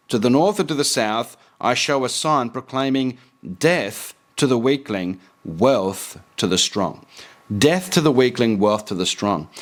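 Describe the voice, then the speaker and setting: Earnest man preaching and reading aloud. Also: mid-range voice. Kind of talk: preaching commentary with read-aloud quotations.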